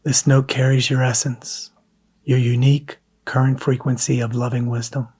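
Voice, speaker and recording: A man guiding a scripted meditation in a soft, hushed, breathy manner, leaving long pauses between phrases. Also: mid-range voice, flat delivery. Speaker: meditation guide.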